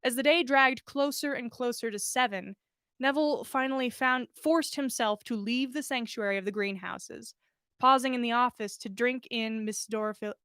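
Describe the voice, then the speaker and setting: A woman narrating a story aloud in an expressive storytelling style, as a podcast host reading fiction. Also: fairly high pitch, no echo, studio quality.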